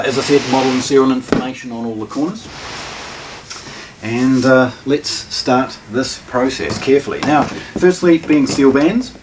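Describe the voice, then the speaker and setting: A man gives casual, unscripted unboxing commentary, halting and matter-of-fact as he thinks aloud while handling things. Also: mid-range voice. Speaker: presenter of a hi-fi shop's unboxing video. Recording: distant mic, noisy room with handling clatter.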